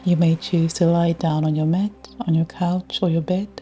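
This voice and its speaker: A woman guiding a scripted yoga nidra meditation in a soothing, measured way with long pauses. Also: on the low side, level tone, dark tone. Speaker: meditation guide. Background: ambient music with ocean sounds.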